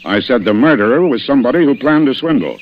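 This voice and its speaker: A man playing a shrewd, dry, commanding police inspector in old-time radio mystery style, deliberate and sardonic in his questioning. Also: mid-range voice, lively intonation, lightly acted. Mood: forceful, accusing. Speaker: radio actor. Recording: muffled, hissy old radio recording.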